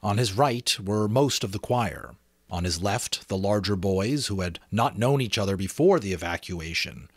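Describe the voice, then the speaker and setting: A man's voice: fairly low pitch, normal speed, no echo. A man narrating expressively in an audiobook style, as an amateur host with a casual touch.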